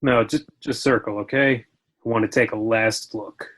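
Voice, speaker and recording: A man's voice: on the low side, steady tone, moderate pace, a bit bright. A man playing a resolute action hero under pressure in an amateur screenplay table read. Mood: wistful, insistent.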